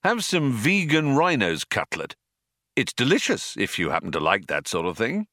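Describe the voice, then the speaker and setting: A man's voice: medium pitch, wildly swooping pitch, normal speed, bright, forceful tone, no room sound, studio quality. A man voicing a breezy, flippant, easygoing fellow in an audiobook, casual and offhand. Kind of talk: audiobook character voice.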